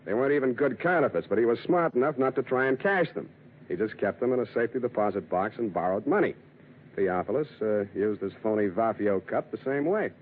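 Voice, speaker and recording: An actor playing a wry, cynical private detective with a clipped, deadpan delivery in a 1940s radio drama. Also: male voice, medium pitch, a little fast, slight background noise, natural voice. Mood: sardonic. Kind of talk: 1940s radio acting, first-person noir narration. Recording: tinny vintage radio with music behind.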